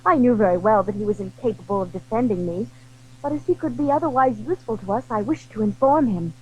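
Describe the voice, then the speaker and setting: A woman's voice: on the high side, fast, a little mellow, muffled recording, a little hiss or hum, lightly acted. A woman acting a charming, earnest young wife in a period audio drama, formal and pleading in manner. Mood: earnest, guarded. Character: charming young wife, about twenty-five, earnest and secretive.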